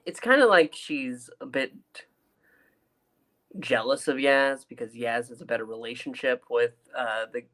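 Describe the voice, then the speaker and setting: Male podcast co-host, medium pitch, casual reviewer who thinks aloud haltingly. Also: a bit bright.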